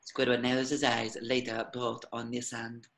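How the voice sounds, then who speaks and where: A man's voice: mid-range voice, completely flat delivery, normal speed, fairly bright tone. A man reading out stage directions as the narrator of a cartoon script table reading.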